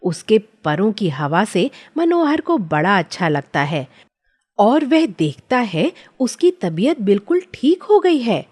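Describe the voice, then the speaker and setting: A woman's voice: mid-range voice, big pitch swings, takes it slowly, a little mellow, slightly rough. A woman reading scripted children's story narration.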